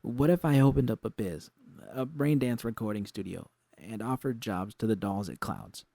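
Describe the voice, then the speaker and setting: A man voicing an excitable, earnest young woman technician in an audiobook story, with urgent, halting, slangy speech. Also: fairly low pitch, vocal fry, no echo. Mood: anxious, hopeful.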